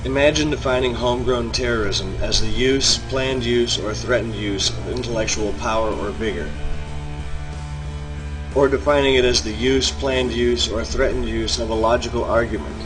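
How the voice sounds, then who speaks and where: A man's voice: medium pitch, moderate pace, rough recording. Man narrating commentary over music. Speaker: video commentator. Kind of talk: scripted narration, opinion commentary. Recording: music bed under the voice.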